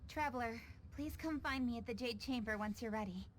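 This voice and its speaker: Woman playing a high-voiced, polite young lady official. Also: a little hiss or hum, close to an everyday voice. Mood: businesslike, friendly. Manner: courteous, brisk, formal. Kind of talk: video game cutscene acting. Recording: faint music behind the voice. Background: background music.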